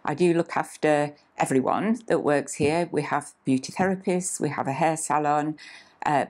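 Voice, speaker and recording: A woman in her sixties, a clinic manager, talking calmly and steadily with frequent "uh" fillers, seated in a small clinic room. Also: low voice. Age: 60s. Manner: calm, measured, with frequent "uh" fillers. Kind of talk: scripted-feel promotional interview talk.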